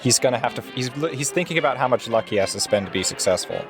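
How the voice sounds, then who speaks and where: Animated man in casual tabletop game chat. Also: mid-range voice, fast. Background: music.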